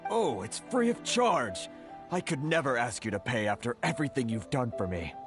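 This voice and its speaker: A man's voice: medium pitch, big pitch swings, moderate pace, close to an everyday voice. A man voicing a timid, earnest merchant in a video game, hesitant and deferential. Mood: grateful. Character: timid, grateful male merchant, earnest and flustered. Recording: faint game music under the voice.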